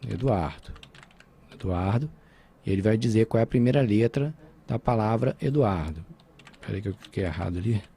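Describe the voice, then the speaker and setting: A man's voice: mid-range voice, creaky, mellow, husky. A man with a Brazilian Portuguese accent teaching a programming lesson over a screen recording, talking informally and checking in with his students.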